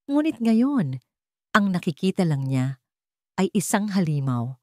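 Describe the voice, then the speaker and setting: A woman's voice: on the low side, big pitch swings, unhurried, dry recording, clean studio sound. A woman reading a scripted dramatic story as its narrator.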